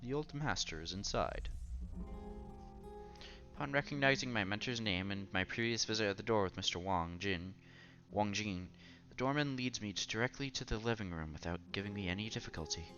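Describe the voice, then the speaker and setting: A man's voice: fairly low pitch, a little fast, lo-fi sound. A man reading a visual novel aloud in a casual let's-play style, sometimes stumbling over words and repeating them. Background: game music.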